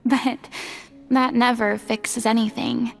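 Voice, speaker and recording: A woman's voice: fairly high pitch, level tone, takes it slowly, creaky. An actress playing a melancholy, sincere young woman in a video game, speaking in a subdued, wistful way. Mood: candid, vulnerable.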